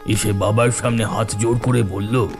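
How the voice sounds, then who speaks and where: A man narrating a scripted first-person story in a deliberate, suspenseful way. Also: on the low side, steady tone, over music.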